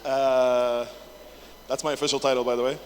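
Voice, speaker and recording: A man's voice: medium pitch, little pitch movement, normal speed. A man in his twenties giving a casual, jokey conference talk, full of fillers, restarts and swearing. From England. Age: 20s. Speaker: conference speaker. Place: large conference hall, indoors. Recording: echoey hall with faint noise.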